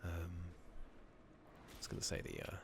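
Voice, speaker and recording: A soft-spoken British man giving casual, rambling ASMR commentary over a game, sometimes near a whisper, with hesitant pauses as he muses. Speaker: streamer playing an online fantasy game. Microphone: close makeshift microphone. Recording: noisy, slightly loud close microphone.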